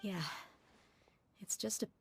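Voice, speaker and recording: An actress playing a tough young desert warrior woman in a video game, speaking calmly and heartfelt. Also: slow, slight background noise, close to an everyday voice. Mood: reflective. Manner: calm, reflective, heartfelt.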